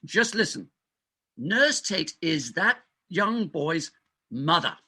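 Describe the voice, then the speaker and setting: A man's voice: a little high, very animated, slow pace, bright, forceful tone, breathy edge, full character voice. A man playing an exasperated, self-important hospital doctor, indignant and emphatic, in a comic stage farce reading. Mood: exasperated, scheming. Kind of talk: comic farce readers theatre.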